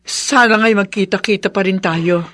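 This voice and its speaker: Woman acting gentle, prayerful older mother. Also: mid-range voice, moderate pace, close to an everyday voice. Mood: gentle, forgiving. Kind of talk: Filipino radio drama acting.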